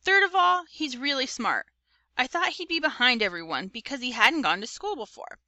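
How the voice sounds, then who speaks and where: A woman's voice: high voice, animated, moderate pace, sharp tone, no echo, heavily acted. A woman voicing a good-natured fifth-grade boy who narrates a storybook in a casual, conversational way. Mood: frank, casual. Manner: casual, conversational, youthful slang.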